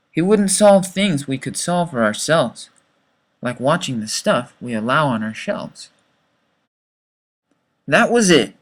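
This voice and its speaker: A man reading a rhyming children's story as its author, with dramatic, expressive delivery. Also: on the high side.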